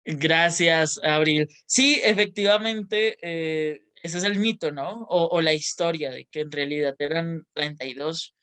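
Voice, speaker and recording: A moderator with a smooth, clear voice answers questions politely and calls on the next speakers. Measured delivery with small fillers. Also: mid-range voice, a bit bright. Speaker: museum guide and moderator.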